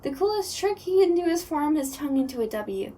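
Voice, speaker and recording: A high-pitched, childlike prankster ghost acted by a woman, chirpy and eager. The audio roleplay voice sits off the mic with clear background noise. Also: very animated, brisk, dark tone, distant mic. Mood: chatty, eager. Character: goofy, childlike ghost who loves puns, named Chris.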